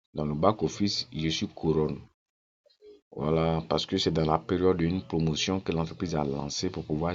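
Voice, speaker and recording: A man's voice: rumbling low, highs cut off. A man from Ghana, walking viewers through his account on screen in a casual, persuasive way and repeating his points insistently. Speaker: network-marketing promoter.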